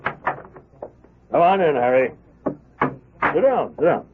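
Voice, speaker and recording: A man's voice: a little high, animated, very slow, slight background noise. An actor playing a paternal but stern prison warden in a radio drama, hearty and impatient in his lecturing. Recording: muffled, tinny old radio broadcast.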